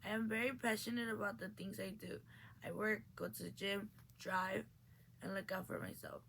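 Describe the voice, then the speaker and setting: Earnest teenage girl with a gravelly edge. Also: medium pitch, lively intonation, normal speed, a bit bright, slight background noise. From Mexico. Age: late teens. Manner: earnest, reads with small restarts. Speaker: graduating high school student. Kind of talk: reading a prepared personal speech.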